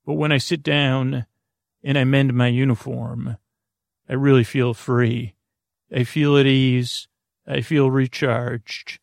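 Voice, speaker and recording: A male podcast host with a gravelly, creaking voice talks in a very dry, close-sounding space. He improvises a bedtime monologue that wanders from one aside to the next. His delivery is halting, with stammers and words said twice. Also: mid-range voice, level tone, dry recording. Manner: meandering and digressive, halting with stammers and repeated words.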